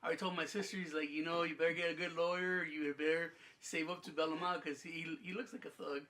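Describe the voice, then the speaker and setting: Young man, host, high-pitched voice, bright tone, mumbly, laughing storyteller. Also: flat delivery, quick pace. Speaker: podcast host. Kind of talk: casual chat.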